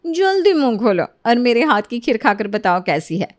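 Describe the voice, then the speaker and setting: Sweet-talking young homewrecker wife, woman voicing her, sugary and coaxing, high bouncy voice. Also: sing-song, moderate pace.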